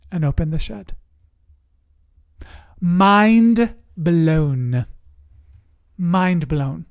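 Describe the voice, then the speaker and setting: A middle-aged coach telling personal stories to camera in a conversational, expressive and deliberate way, with dramatic pauses and repetition. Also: medium pitch, no room sound, studio quality. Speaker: emotional resilience coach and show host.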